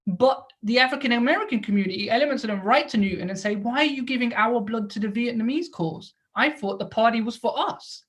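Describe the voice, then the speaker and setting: A woman's voice: on the high side, a little fast, hardly any pauses. Woman giving an explanatory lecture. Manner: explanatory, with rhetorical questions and check-in tags. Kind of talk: lecture to an audience.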